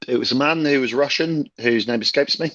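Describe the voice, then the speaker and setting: A man's voice: mid-range voice, fairly quick, a bit bright, dry recording. A man co-hosting a casual football podcast over his phone, full of self-deprecating, jokey asides. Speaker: podcast co-host. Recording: dull phone recording.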